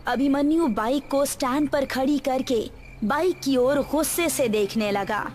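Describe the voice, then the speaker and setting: A woman's voice: high-pitched, measured pace, a little mellow. A woman narrating a scripted horror story as the storyteller. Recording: music behind the voice.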